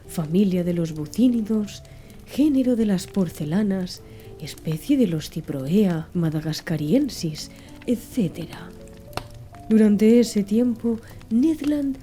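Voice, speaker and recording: A woman narrating an audiobook in a soft, measured ASMR style. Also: mid-range voice. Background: faint music.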